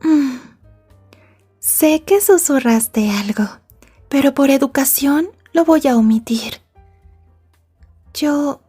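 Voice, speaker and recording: A woman playing a possessive, polite young yandere girlfriend in an ASMR roleplay, speaking in a prim, wordy and softly menacing way. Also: high voice, husky, full character voice. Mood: guarded, irritated, then sweet, smitten. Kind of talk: Spanish-language ASMR roleplay acting.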